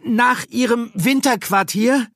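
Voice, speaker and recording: A man's voice: very high voice, takes it slowly, fairly bright tone, dry recording, clean studio sound, voice pushed up, full character voice. A man voicing a small, timid young female mouse for a children's audiobook, speaking in a courteous, careful manner. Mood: gently correcting.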